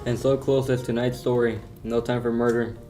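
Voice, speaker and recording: A man's voice: mid-range voice, level tone, normal speed, music in the background. A young man reading scripted announcer narration for an old-time radio play. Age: young adult.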